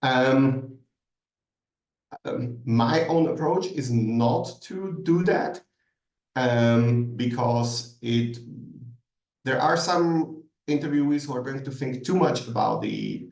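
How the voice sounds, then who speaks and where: A man's voice: medium pitch, takes it slowly, gravelly, darkish tone. A man giving a considered masterclass answer, full of ums and restarts. He sits away from the mic, so his voice comes through distant. The room around him rings with echo. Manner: thoughtful, hesitant, many ums and restarts. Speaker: guest expert answering questions.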